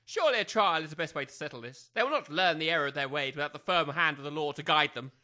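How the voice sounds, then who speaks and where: A man's voice: on the high side, lively intonation, fast talker, sharp tone. A man playing a pompous, easily outraged major in an audio comedy drama, with florid, formal speech. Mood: pompous, accusing.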